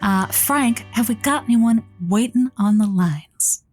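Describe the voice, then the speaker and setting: A woman's voice: fairly high pitch, measured pace, dry recording, soft background music. A woman playing a wry, playful late-night radio advice host in an audio comedy, with a folksy turn of phrase. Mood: showy welcome. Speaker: radio call-in show host.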